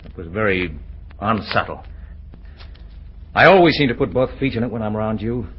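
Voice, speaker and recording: A man's voice: fairly low pitch, lively intonation, normal speed, fairly bright tone, slight background noise, poor audio. An actor playing a blunt, lovesick young man, stubborn and earnest, in an old-time radio drama. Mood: jealous, earnest. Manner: tactless, earnest.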